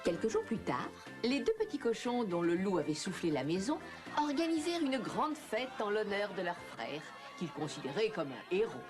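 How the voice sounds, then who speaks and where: A woman's voice: medium pitch, big pitch swings, normal speed. A woman storyteller reading scripted narration for a children's fairy tale. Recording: music and crowd noise behind.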